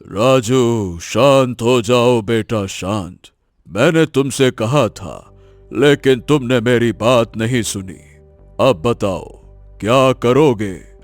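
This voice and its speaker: A man's voice: mid-range voice, slow pace, no room sound, soft background music, natural voice. A man voicing a solemn, stern magical sage in a cartoon moral story, speaking in grave pronouncements. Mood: calm, instructive. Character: solemn magical tree and wise village guru, stern.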